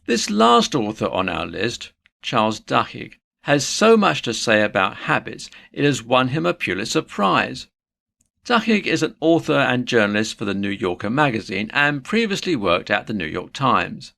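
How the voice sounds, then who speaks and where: Lively, gravelly male narrator. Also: medium pitch, normal speed. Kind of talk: scripted informational narration.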